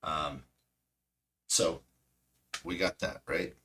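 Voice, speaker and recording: A man hosting a stock trading stream, hoarse and losing his voice, chatty and self-mocking with a cracking voice. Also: extremely low pitch, fairly even intonation, very slow, pushed and bright, a little hiss or hum. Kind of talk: casual market commentary.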